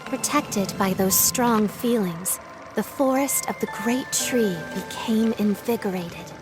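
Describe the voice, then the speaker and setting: An actress playing a solemn storybook narrator in a video game, delivering scripted narration. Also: female voice, mid-range voice, slow, music in the background, lightly acted.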